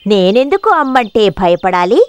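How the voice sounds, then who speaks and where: A woman's voice: medium pitch, lively intonation, moderate pace, clean tone, close to an everyday voice. A woman voicing a playful, mischievous little boy who teases and coaxes in a children's cartoon. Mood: playful, teasing. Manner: teasing, cajoling. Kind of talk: animated children's cartoon acting.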